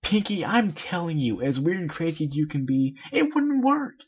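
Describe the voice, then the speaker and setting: Brash, high-voiced mare, acted out by a man. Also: sing-song, normal speed, breathy edge, muffled recording, heavily acted. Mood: skeptical. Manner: offhand, impatient. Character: brash, cocky female cartoon pegasus pony.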